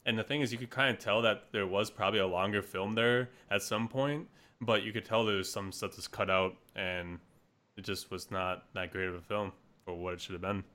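Man, podcast co-host, very low pitch, bright, rambling, hesitant. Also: fairly even intonation, fairly quick. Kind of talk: casual podcast chat.

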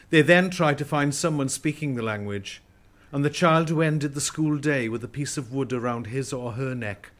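Mid-pitched male radio play narrator.

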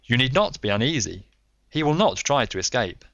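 A man voicing a calm, stern Victorian detective who speaks in a measured way. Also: medium pitch, creaky, no room sound, highs cut off, voice pushed up. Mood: assured.

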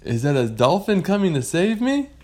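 A storyteller voicing a young female adventurer, nervous and excitable, exclaiming and questioning in a children's story. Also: mid-range voice, a little slow, pitched above the performer's own voice, lightly acted.

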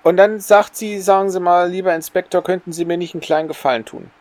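A man's voice: sits high, fairly even intonation, quick pace, a little mellow. A man co-hosting a podcast, casually discussing an audio drama and arguing his points step by step. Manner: argumentative, explains step by step. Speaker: podcast co-host.

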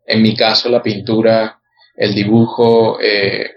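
A man with a Venezuelan accent, a guest photographer telling his story in a relaxed way, with many hesitations and repeated words. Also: on the low side, slow, dull audio. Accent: Venezuelan Spanish. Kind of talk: casual interview chat.

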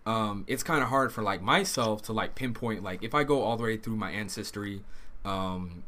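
A man's voice: on the low side, moderate pace, sharp tone. A man in his early twenties with an American accent, chatting casually and hesitantly as he hosts an interview. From Southern California, United States. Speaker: podcast host. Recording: noticeable background noise and ambience.

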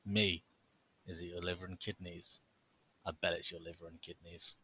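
A man's voice: deep voice, little pitch movement, moderate pace, pushed and bright, slightly rough. A man co-hosting a podcast, theatrical, jokey and sarcastic in casual chat. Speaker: podcast co-host.

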